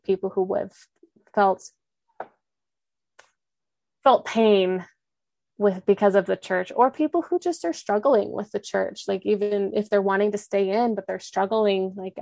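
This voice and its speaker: A woman's voice: mid-range voice, vocal fry, darkish tone, no room sound. A young woman speaking candidly in an interview, earnest and reflective, with lots of "like" and restarts. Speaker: podcast host and guest.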